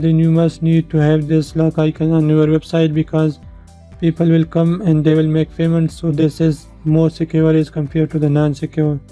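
Very dark-voiced man narrating a tutorial. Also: fairly high pitch. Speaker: tutorial presenter. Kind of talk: instructional screen-share narration. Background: background music.

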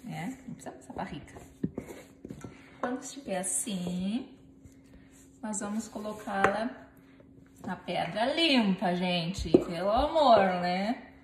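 A woman's voice: medium pitch, lively intonation, takes it slowly, pauses often. A woman in her thirties demonstrating a recipe in her home kitchen, chatting playfully with viewers and her helper as she works. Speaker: home cooking vlogger. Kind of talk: casual cooking demonstration. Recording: echoey kitchen with clear background noise.

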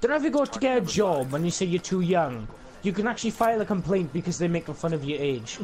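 A young man with a Welsh accent chatting and joking excitedly with a friend while playing a co-op shooter, his voice jumping high when he gets hyped. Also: fast talker. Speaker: gaming streamer. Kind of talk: casual co-op gameplay chat.

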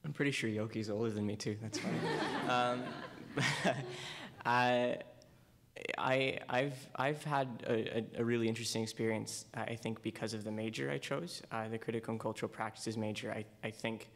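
A man's voice: mid-range voice, steady tone, normal speed, fairly bright tone. A young man from Southern Ontario, a student on a panel, with a dry, wry manner and many "uh"s. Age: young adult. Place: university lecture hall.

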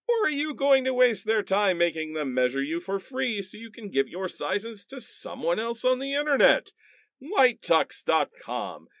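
Man playing an animated, flippant wisecracker. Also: high-pitched, no room sound, clean studio sound, full character voice. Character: wisecracking, flippant man, skeptical holiday time traveler. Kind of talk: comic radio play acting.

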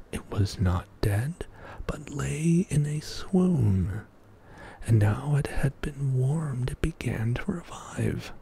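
A middle-aged man reading a bedtime story as ASMR in a soft, breathy whisper, hushed and soothing, close to the microphone in a small indoor studio. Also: mid-range voice, slight background noise. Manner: slow, hushed, soothing narration.

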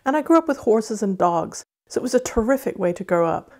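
Casting: female author, mid-Atlantic accent, medium-pitched voice. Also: lively intonation, fairly quick. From Wales and Arizona. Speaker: author reading and discussing own novel.

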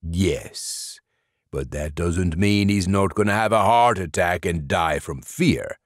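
A man voicing an old, grumpy, boastful male gargoyle, gruff and dismissive. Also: low voice, slow pace, no room sound, clean studio sound.

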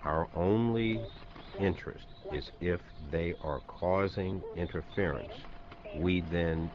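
A man's voice: deep voice, slow pace. A man giving a formal, guarded statement as a government official, in a muffled recording. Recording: muffled, with crowd-like noise.

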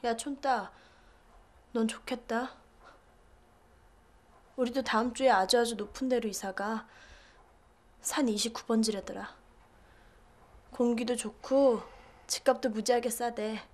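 An actress playing a resentful, sharp-tongued city schoolgirl in a children's TV drama, with a sarcastic way of talking. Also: on the high side, steady tone, measured pace, long pauses.

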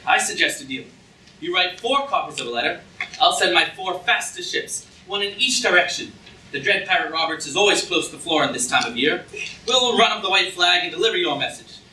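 A man's voice: high voice, lively intonation, a little fast, pushed and bright, exaggerated voice. A man playing a smug, treacherous prince in a theatrical, self-satisfied manner at a staged reading. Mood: persuasive. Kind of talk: staged reading of a comic play. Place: auditorium stage, recorded from the audience. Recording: echoey hall, far camera, room noise.

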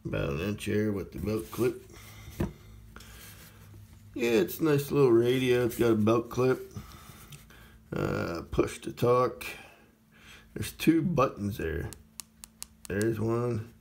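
A man's voice: medium pitch, sing-song, slow, vocal fry, pauses often, darkish tone, a little hiss or hum. A man casually demonstrating a small radio at a table indoors, plainspoken and rambling with many fillers. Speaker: radio reviewer. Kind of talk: casual product demo.

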